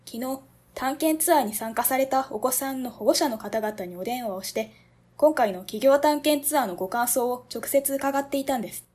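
A woman's voice: sits high, moderate pace, darkish tone. A woman playing a polite, earnest young ward office clerk who explains things carefully.

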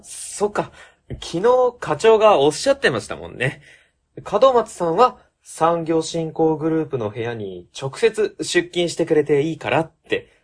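A man playing an earnest, polite young rookie civil servant in a comedic radio drama, quick to react. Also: fairly high pitch, sing-song, full character voice. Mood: friendly. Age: young adult.

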